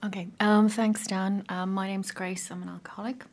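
A woman with an Irish accent, probably in her thirties, sharing her personal recovery story in a casual, hesitant way with many pauses and restarts. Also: mid-range voice, level tone, a little mellow, a little hiss or hum. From Ireland.

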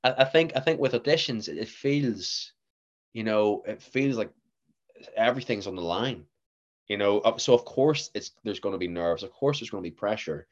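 A man in his twenties with a Northern Irish accent, an actor chatting as a podcast guest, lively and acting out what others said to him. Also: on the low side, normal speed, dull audio. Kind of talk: casual interview chat.

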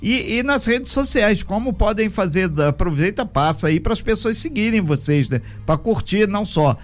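High-voiced enthusiastic radio host. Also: male voice, lively intonation, moderate pace, faint music behind. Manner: enthusiastic, promotional, chatty. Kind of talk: radio interview.

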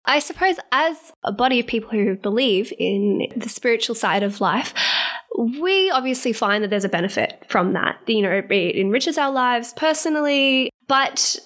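A woman interviewing a guest, warm and affirming, asking long framing questions. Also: a little high, lively intonation, normal speed. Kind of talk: conversational interview.

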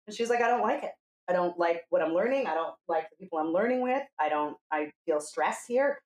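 A woman's voice: lowish voice, expressive, brisk, darkish tone. An American woman of fifty from New Hampshire, telling stories casually and candidly and acting out quoted dialogue. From New Hampshire, USA. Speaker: podcast guest, coach. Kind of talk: casual conversational storytelling. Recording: roomy, echoing sound.

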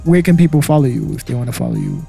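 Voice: medium pitch, wildly swooping pitch, rapid-fire, gravelly, music in the background. A podcast host wrapping up the show, chatting casually in an upbeat manner and laughing easily. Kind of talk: casual chat.

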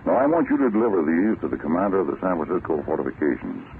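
An actor playing a deliberate, authoritative senior army general in a 1940s radio drama, with a gravelly voice. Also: male voice, low voice, very animated, full character voice. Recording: muffled, hissy vintage radio recording.

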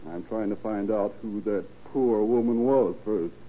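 An actor playing a curt, impatient police detective in a 1930s radio drama. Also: male voice, lowish voice, unhurried. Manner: curt, businesslike, clipped. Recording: tinny, hissy old radio recording.

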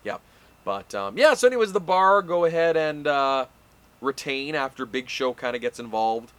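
A man's voice: medium pitch, expressive, moderate pace, fairly bright tone, clear, resonant tone, no echo. A man co-hosting a casual chat, recapping events in a rambling way with plenty of fillers. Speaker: podcast co-host.

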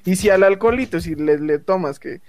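A man's voice: high-pitched, brisk. A man with a Mexican Spanish accent, hosting a casual, joking chat with friends and laughing often. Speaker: podcast host. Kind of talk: casual banter among friends.

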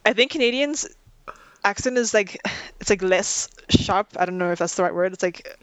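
A woman's voice: mid-range voice, moderate pace, dry recording, rough recording. Giggly young South African woman. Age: young adult. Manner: chatty, many "like" fillers, giggly. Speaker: interviewee, engineering student. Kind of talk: casual interview chat.

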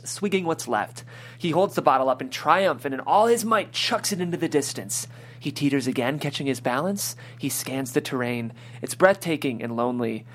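A man's voice: a little high, fairly bright tone. A man hosting a script reading and narrating stage directions in a descriptive storytelling style.

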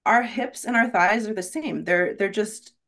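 A woman's voice: mid-range voice, a little slow, fairly bright tone. A woman being interviewed on a podcast, talking candidly and earnestly, often checking in with "right?". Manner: reflective and earnest, frequent "right?" tags. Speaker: podcast guest, rock climbing guide.

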